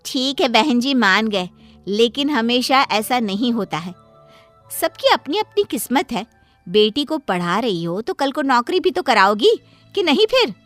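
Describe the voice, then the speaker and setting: A meddling neighbor lady in a cartoon story, as a woman puts her on, with a very high, shrill voice. She lectures with busybody certainty, and soft music plays faintly behind her. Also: sing-song, a little slow. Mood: lecturing. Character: nosy preachy middle-aged female neighbor.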